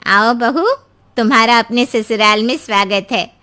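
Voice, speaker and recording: Woman playing bossy in-law, bright forceful voice, fairly high, brisk, matter-of-fact, family cartoon. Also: smooth. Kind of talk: Hindi moral-story cartoon acting.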